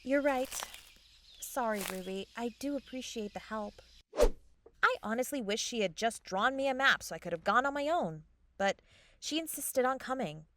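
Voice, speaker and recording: Woman playing an eager high-voiced young fairy, forest noise behind. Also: lively intonation, moderate pace, close to an everyday voice. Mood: wry, apologetic. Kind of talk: fantasy comedy audio drama with inner narration. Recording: outdoor nature sound effects behind voice.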